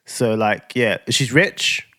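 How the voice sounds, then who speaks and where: A man's voice: lowish voice, animated, takes it slowly, pushed and bright. A man, co-hosting a casual podcast chat, musing in a laid-back way with lots of restarts. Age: 30s. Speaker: podcast co-host.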